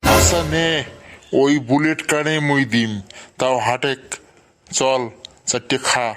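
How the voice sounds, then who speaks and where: A man's voice: medium pitch, fairly even intonation, takes it slowly, fairly bright tone, soft background music. A man voicing a pleading, exasperated village father in a comedy cartoon, with a North Bengal accent. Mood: placating. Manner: coaxing, exasperated.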